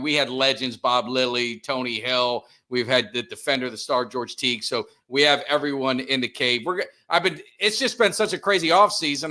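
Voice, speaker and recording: Man, middle-aged, live stream host, upbeat, bright forceful voice. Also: medium pitch. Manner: upbeat, plugs the channel often. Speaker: podcast host. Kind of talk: casual live-stream chat.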